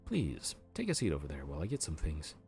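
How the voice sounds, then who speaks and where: A man voicing a helpful grim reaper clerk in an audio roleplay, polite and bureaucratic as he walks through the paperwork. The voice sits very low but moves up and down a lot. Soft music plays faintly underneath. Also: wildly swooping pitch, quick pace, vocal fry, exaggerated voice. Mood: courteous. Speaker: underworld front-desk worker.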